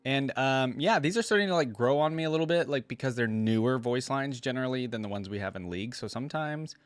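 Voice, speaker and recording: A man hosting a reaction video, casual and informal, reading aloud and thinking out loud with asides. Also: medium pitch, animated, fast talker, no room sound.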